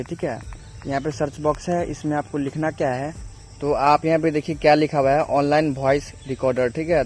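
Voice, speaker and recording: Higher-voiced man narrating a phone tutorial, noisy recording. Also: a little mellow. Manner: repetitive, instructional phrasing with check-in tags. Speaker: tech tutorial host.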